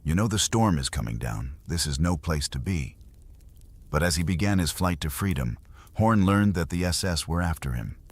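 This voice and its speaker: A man narrating history in an unhurried, conversational way, as if explaining to a listener. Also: low voice, expressive, dry recording. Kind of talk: documentary history storytelling.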